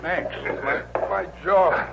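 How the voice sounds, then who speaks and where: An actor playing a whining, beaten male outlaw with a very husky voice in a 1950s radio western, stammering in protest. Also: sits high, takes it slowly, a little hiss or hum, exaggerated voice. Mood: pained, alarmed. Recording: muffled old radio recording.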